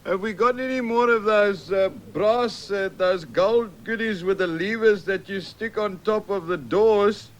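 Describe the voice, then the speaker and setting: A slow-witted South African hardware clerk for a 1970s radio sketch. The voice is high for a man, slangy and utterly unbothered. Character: dim, obliviously unhelpful male hardware shop assistant.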